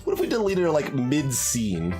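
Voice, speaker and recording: A man's voice: mid-range voice, wildly swooping pitch, normal speed, darkish tone. A man giving casual, hesitant, rambling live commentary as he plays a game, thinking aloud. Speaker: streamer playing a visual novel. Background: game music.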